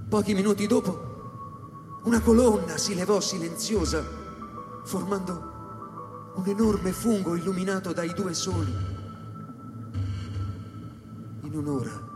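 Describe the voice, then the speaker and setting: An actor playing a reflective male scientist who narrates a science-fiction radio drama in measured, deliberate phrases. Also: fairly high pitch, natural voice. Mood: intense, heightened. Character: reflective male scientist recounting life on space station. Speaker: actor narrating as the story's protagonist. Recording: echoey hall reverb under live electronic music.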